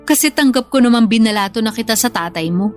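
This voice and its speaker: A middle-aged mother acted by a woman in a radio drama, her voice a bit high. She is cold and curt, bluntly dismissive and demanding. Faint music plays behind her. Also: animated, fast, close to an everyday voice.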